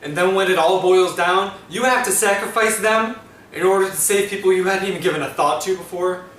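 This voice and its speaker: Man acting an anguished high-voiced war veteran, echoey. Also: a bit bright, slight background noise. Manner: anguished, pausing dramatically between short phrases. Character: agonized, war-weary centuries-old time-war soldier. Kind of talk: improvised dramatic monologue in a fan film. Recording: echoey room, far from mic, faint ambience.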